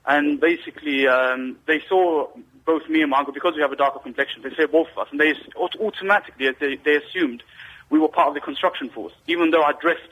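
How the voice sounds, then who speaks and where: A man on a call-in line talks quickly, stumbling through restarts and fillers as he tells his story. His voice is bright and a little husky. The sound is thin and phone-like. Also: on the high side, dry recording. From Britain. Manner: rambling, hesitant, many restarts and fillers. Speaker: caller.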